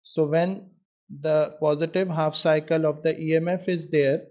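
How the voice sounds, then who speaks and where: A man tutoring in physics, explaining an exam question in a measured way with pauses as he writes. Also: a little high, level tone, darkish tone. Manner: measured, pausing while writing out answers. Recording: dull lo-fi microphone sound.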